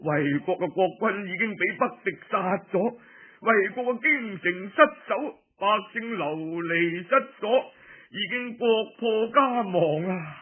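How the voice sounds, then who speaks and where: A man's voice: high-pitched, animated, measured pace, slightly rough, phone-quality sound, exaggerated voice. A Cantonese male storyteller voicing a desperate, grief-stricken envoy, pleading and lamenting. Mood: tearful pleading. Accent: Cantonese, Guangdong.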